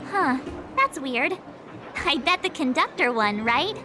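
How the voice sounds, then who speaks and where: A woman's voice: high voice, lively intonation, unhurried, music in the background. A woman voicing a perky, bossy teenage girl adventurer in an anime game, with a peppy, scolding manner. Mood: curious.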